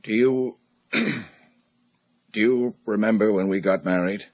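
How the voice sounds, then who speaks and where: An actor playing a tormented middle-aged husband in a radio drama, confessing in a halting, heavy way. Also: male voice, fairly low pitch, no echo. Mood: haunted, halting. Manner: halting, heavy, agonized delivery.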